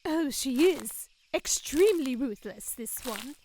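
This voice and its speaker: A teasing, cautious female fairy in an audio comedy. Her pitch is high and very animated, with faint crickets and woodland sound behind her. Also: takes it slowly, slight background noise. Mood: teasing, ominous. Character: teasing, cautious fairy friend Ruby, young woman. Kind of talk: fantasy comedy audio drama.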